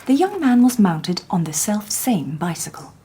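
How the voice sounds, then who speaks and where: An actress playing a shrewd, composed Victorian woman detective who narrates the story, with soft, measured diction. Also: medium pitch, big pitch swings, noisy background, close to an everyday voice. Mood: calm. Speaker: lady detective narrating and speaking. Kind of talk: radio drama narration and dialogue.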